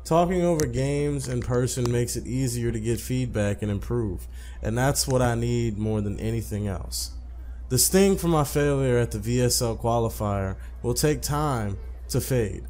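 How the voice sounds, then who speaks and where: A man's voice: medium pitch, moderate pace, warm tone. A man casually commentating and reading a visual novel's text aloud as a let's player.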